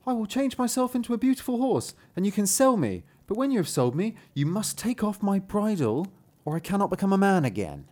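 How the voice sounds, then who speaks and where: Male reader as earnest storybook youth, eager shapeshifter, pitch lifted. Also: big pitch swings, fairly quick, a little mellow. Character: eager shapeshifting youth, a fairy-tale son.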